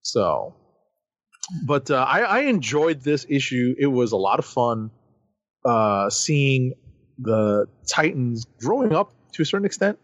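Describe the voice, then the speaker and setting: A man co-hosting a comics podcast, listing writers and artists before giving his take. His pitch swings widely as he talks. The sound is dry and a little dull, as if from a home setup. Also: unhurried, dry recording. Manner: lively, reads credits then chats. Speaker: podcast co-host. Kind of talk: casual comic book review chat.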